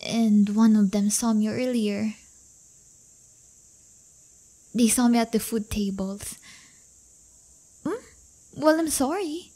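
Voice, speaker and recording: Casting: woman as brash but loyal delinquent high school girlfriend, fairly high voice, slangy and teasing, for intimate audio roleplay. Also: a little slow, dark tone. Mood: exasperated, rambling. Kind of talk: audio roleplay acting, intimate one-sided dialogue.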